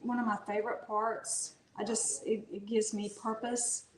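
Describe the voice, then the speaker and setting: A woman's voice: medium pitch, moderate pace. A woman from North Carolina with a Southern accent, an author chatting casually as an interview guest. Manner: relaxed, chatty, with fillers and restarts. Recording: echoey room.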